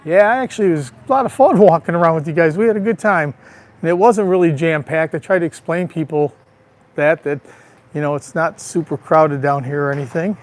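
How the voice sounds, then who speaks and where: A man with a raspy, allergy-roughened voice chatting casually to viewers while walking outdoors, recorded on a handheld phone. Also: a little high, a little fast. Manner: chatty, greets viewers by name. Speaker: livestream vlogger. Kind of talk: casual live walking tour. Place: outdoors on a town street.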